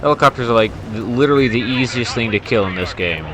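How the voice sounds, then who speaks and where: A man's voice: medium pitch, quick pace, a crowd in the background, low-quality recording. A man doing casual, rambling gameplay commentary with informal, grumbling asides. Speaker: gamer commentating on own gameplay. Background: flight combat game sounds, explosions and music.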